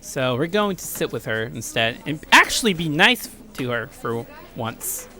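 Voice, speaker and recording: A man's voice: mid-range voice, sing-song, a little slow, bright, forceful tone. A man giving casual, rambling let's play commentary with crude joking asides. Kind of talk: casual game commentary and read-aloud.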